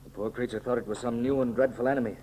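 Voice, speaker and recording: An actor in a 1930s radio serial playing a bold, commanding hero emperor with a declamatory, decisive delivery. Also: male voice, medium pitch, fairly even intonation, racing, dark tone, natural voice. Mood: brisk, composed. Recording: noisy old 1930s radio recording.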